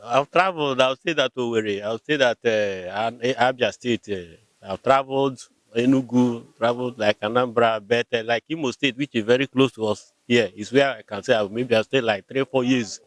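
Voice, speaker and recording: A man's voice: mid-range voice, normal speed, hardly any pauses, dry recording. Nigerian man, hesitant speech, repeats words, dry close sound. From Nigeria, Rivers State. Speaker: local community member interviewed. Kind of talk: conversational answers.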